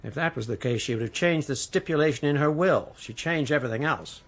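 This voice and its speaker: Stern, shrewd older family lawyer. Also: male voice, fairly high pitch. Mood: measured, stern. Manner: measured, pointed, knowing. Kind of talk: radio drama dialogue.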